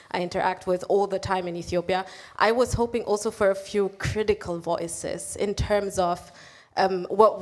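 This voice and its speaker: A woman in the audience asking the panel an earnest, direct question. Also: medium pitch.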